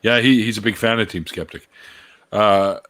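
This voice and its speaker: A man's voice: fairly low pitch, moderate pace, a bit bright. A Canadian man hosting a casual livestream chat over a video call, joking and laughing often. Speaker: host.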